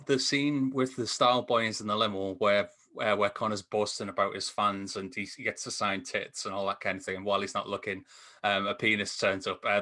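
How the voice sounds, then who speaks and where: A man on a podcast video call casually discussing films in a halting, enthusiastic way. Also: fairly low pitch, pushed and bright. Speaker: podcast reviewer.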